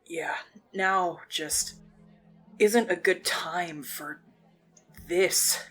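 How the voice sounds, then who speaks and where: A woman playing a gruff, guarded teenage girl in an audio roleplay, with a blunt, slangy way of talking. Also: on the low side, very slow, a bit bright, faint music behind. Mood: guarded, reluctant.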